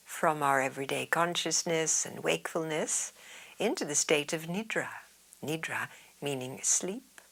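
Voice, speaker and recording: Low, gravelly-voiced woman guiding meditation. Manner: soft, soothing, half-whispered guidance. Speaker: yoga teacher. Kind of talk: guided meditation.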